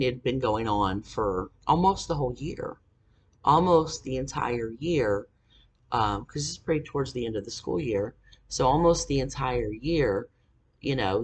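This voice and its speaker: A man in his forties telling a personal story to camera, halting with fillers and angry swearing. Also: medium pitch, breathy edge. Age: 40s. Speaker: vlogger. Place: indoors, dim room.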